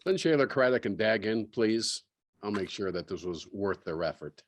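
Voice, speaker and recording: A man acts a police superintendent in his early fifties at a script read. He is brusque, weary and sardonic, speaking in a low voice with dry impatience. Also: animated, moderate pace.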